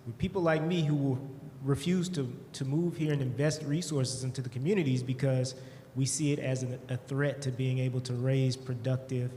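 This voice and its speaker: A man from the audience asking a panel a question, measured and deliberate. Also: mid-range voice, steady tone, warm tone. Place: conference breakout room. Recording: echoey hall with background noise.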